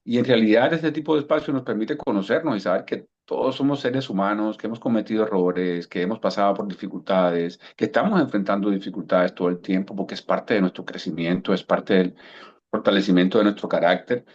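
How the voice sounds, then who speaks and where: A low-pitched man in his fifties with a Cuban accent worn smooth by years in Colombia, sharing his story on a video call. He talks in a reflective way, restarting phrases as he looks for words. The audio is dull and lo-fi. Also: brisk. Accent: Cuban Spanish softened by years in Colombia. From Cuba. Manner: thoughtful, repeats words while searching for them. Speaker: guest coach. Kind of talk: relaxed storytelling interview answer.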